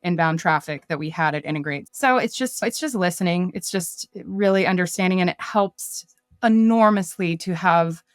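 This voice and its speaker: A woman's voice: on the low side, moderate pace, dry recording, studio quality. A woman speaking as a podcast guest in casual business chat, often voicing imagined quotes as she explains. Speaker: podcast guest, startup marketer.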